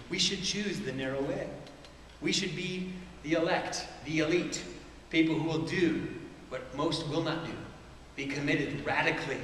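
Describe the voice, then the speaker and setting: A middle-aged man preaching from a church stage, talking conversationally and tossing rhetorical questions to the room. His voice sits a little high for a man. It is caught from far off, with a long, roomy hall echo and a faint hiss behind it. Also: a bit bright. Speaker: pastor. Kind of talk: sermon. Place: church stage with a large screen. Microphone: far camera in the hall.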